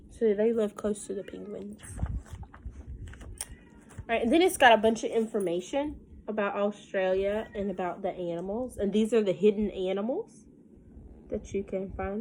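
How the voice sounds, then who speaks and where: A woman's voice: medium pitch, a little mellow, slight background noise, low-quality recording. A woman with a Southern US accent, a teacher reading a picture book aloud to children in a sing-song way with folksy, casual asides.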